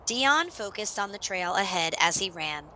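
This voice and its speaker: A woman narrating a children's story in an expressive read-aloud style, with dramatic emphasis on action words. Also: mid-range voice, normal speed, a little hiss or hum.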